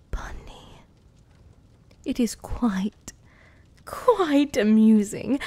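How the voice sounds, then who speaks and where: Woman playing a slow, whispering British female werewolf. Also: a little high, warm tone, heavily acted. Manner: slow, teasing, menacing, breathy murmur. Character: sadistic, possessive female werewolf-witch hybrid toying with prey. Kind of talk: ASMR roleplay, close whispering.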